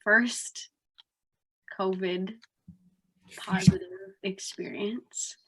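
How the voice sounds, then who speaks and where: A woman's voice: mid-range voice, steady tone, extremely slow, husky, poor audio. A young woman from New Jersey, sounding congested, telling a story in a casual podcast chat with lots of "like". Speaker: podcast co-host.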